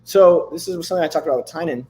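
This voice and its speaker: Man, thirties, livestream host, slightly higher voice, hoarse, loose rambling talk. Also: very fast, mellow, a little hiss or hum. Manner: loose and rambling, swears casually. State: losing his voice. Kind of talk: casual livestream chat with screen demos.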